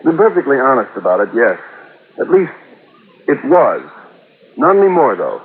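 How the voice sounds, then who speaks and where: An actor playing a smooth young nephew acting as party host, hearty, glib and talkative, in old-time radio style. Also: male voice, medium pitch, big pitch swings, normal speed, lightly acted. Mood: earnest, glib. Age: young adult. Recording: tinny, noisy old radio recording.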